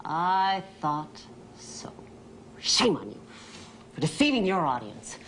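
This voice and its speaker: An actress playing a stern, no-nonsense news co-anchor in a scripted sketch. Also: female voice, fairly low pitch, big pitch swings, slow pace, slight background noise, low-quality recording. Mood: scolding.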